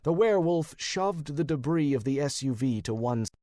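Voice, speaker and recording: Animated American male audiobook narrator. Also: a little high, normal speed, dry recording, clean studio sound. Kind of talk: first-person scripted narration, wry detective fiction. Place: dry studio booth.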